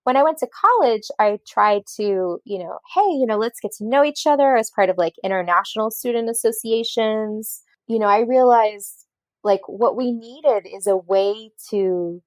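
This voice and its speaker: A woman's voice: on the high side, normal speed, a little mellow, no room sound. Rambling woman guest with an American accent. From Colombia. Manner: rambling, many fillers and restarts. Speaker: podcast guest. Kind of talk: casual storytelling interview.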